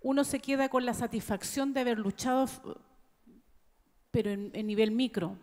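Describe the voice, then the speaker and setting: A woman's voice: on the high side, moderate pace, a little mellow. A woman teacher speaking from the audience at a conference, earnest and emphatic, building long rhetorical questions. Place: large conference hall. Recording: reverberant conference hall.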